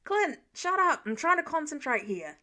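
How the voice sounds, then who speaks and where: A woman's voice: high-pitched, sing-song, moderate pace, pushed and bright, exaggerated voice. A woman voicing an exasperated, competitive male superhero who snaps irritably in a story reading. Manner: irritated, snappy.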